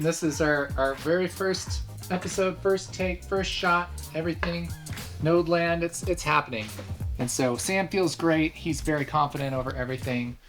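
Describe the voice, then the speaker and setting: A man in his thirties, a video show host, talking casually about a shoot in an indoor studio. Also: on the high side. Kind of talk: casual behind-the-scenes chat.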